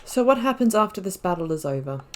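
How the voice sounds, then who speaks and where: A woman's voice: medium pitch, very animated, fast, a little mellow. A woman giving casual let's-play commentary, thinking aloud reflectively and reading out dialogue as her character. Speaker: gaming YouTuber.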